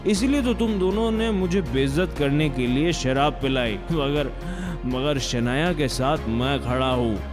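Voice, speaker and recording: A man's voice: mid-range voice, unhurried, natural voice. A man acting an earnest, emotional young husband in an audio drama. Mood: defiant, accusing. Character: drunk, devoted young husband, earnest and defiant. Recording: music under the voice.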